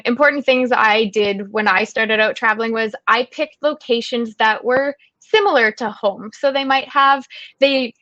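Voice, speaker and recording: A woman's voice: fairly high pitch, fairly bright tone, no echo. A young woman with a Canadian accent, answering webinar interview questions in an earnest, encouraging way and sometimes repeating words as she thinks. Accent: Canadian English. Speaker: guest speaker, travel writer. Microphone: video call. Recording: dull lo-fi call audio, dry.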